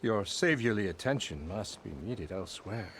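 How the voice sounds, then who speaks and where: An actor playing a pompous, blustering middle-aged labor boss who talks in grand, flattering, evasive turns in a video game. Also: male voice, lowish voice, moderate pace, a little hiss or hum.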